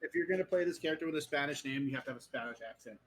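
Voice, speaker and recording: Fast male player, jokey, mid-pitch. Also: a bit bright, rough recording. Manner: quick joking asides. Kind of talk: casual tabletop game chat.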